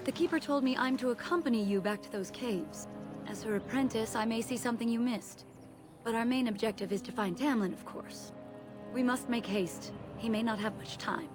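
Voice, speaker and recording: A woman performs a young elven mage's apprentice in a fantasy game. Her voice sits a little high, earnest and cautious, with a firm sense of duty. Music plays clearly behind her. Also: normal speed, natural voice. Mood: earnest, urgent. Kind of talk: video game voice acting.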